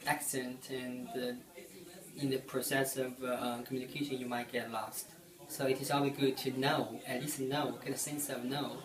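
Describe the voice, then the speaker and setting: A young man from China, a student answering haltingly with many restarts and fillers. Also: mid-range voice, echoey room, poor audio. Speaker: student, interviewee.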